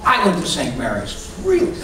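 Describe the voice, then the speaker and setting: A man's voice: on the high side, wildly swooping pitch, moderate pace. A man voicing a chatty Irish man drinking at a bar, sounding eager and friendly, in a joke told to a crowd. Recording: echoey hall from far off, crowd noise.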